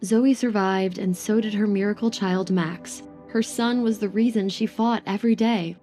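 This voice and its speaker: A woman's voice: mid-range voice, steady tone, moderate pace, warm tone, faint music behind. A woman narrating a dramatic scripted story as an audio drama narrator.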